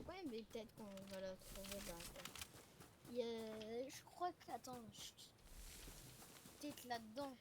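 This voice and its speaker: A woman as a high-voiced grumbling young survivor, noisy recording. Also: measured pace, long pauses, lightly acted. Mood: uncertain, searching. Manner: blunt, repeats words. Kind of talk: improvised amateur role-play acting. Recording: noisy homemade recording with clicks.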